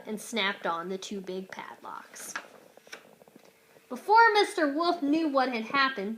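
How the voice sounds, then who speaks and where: A woman's voice: high-pitched, pushed and bright, a little hiss or hum. A woman reading a children's storybook aloud expressively, like a teacher.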